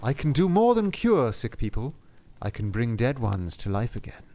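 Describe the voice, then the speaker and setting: A man's voice: mid-range voice, sing-song, normal speed, mellow. A man doing a stern, solemn old saint. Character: calm, solemn elderly male saint.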